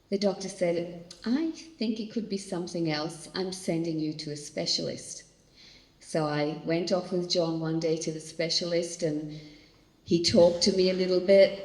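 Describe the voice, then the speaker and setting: An older woman pastor from Australia giving an animated, storytelling church talk on coping with stress. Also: fairly low pitch. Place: large hall. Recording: echoey hall, long reverb.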